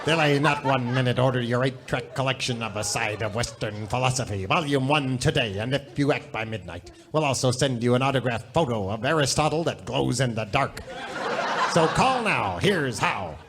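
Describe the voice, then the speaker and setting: An actor playing a pompous, folksy American doctor turned pitchman in a parody radio advertisement, brusque and salesmanlike. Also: lively intonation, moderate pace, fairly bright tone. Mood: emphatic selling.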